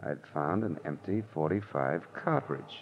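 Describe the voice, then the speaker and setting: A man's voice: very deep voice, lively intonation, a little slow, exaggerated voice. An American man playing a world-weary, hard-boiled private detective in old radio noir style, terse, wry and punchy. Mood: weary, brooding. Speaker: lead actor and narrator of a radio detective series. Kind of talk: 1940s-50s radio noir acting. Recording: muffled old radio recording with music.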